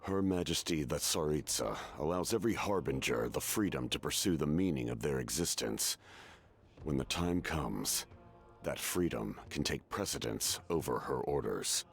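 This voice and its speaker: An actor playing a weary, proud ancient warrior in a video game, speaking slowly and gravely with a deep, gravelly voice. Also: male voice, animated, heavily acted. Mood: grave, resolute. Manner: slow, measured, grave. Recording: background music under the voice.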